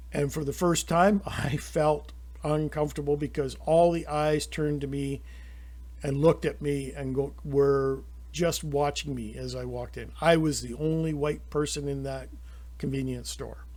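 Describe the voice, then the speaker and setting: A middle-aged Canadian man pours out his opinions in a rambling, indignant monologue. His voice sits a little high for a man, and he often stops and restarts his sentences. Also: darkish tone. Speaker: channel host.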